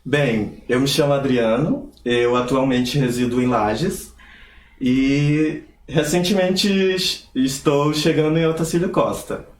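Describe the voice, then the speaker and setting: A young man from Pará, Brazil, speaking earnestly as an interview guest with measured hesitations. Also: mid-range voice, echoey room, a little hiss or hum. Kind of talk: conversational interview.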